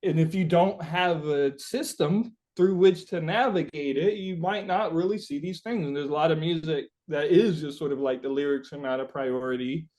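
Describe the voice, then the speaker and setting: A man's voice: a little high, normal speed, hardly any pauses, darkish tone. A man being interviewed as a musician, chatting casually and reflectively, searching for his words with informal, sweary phrasing.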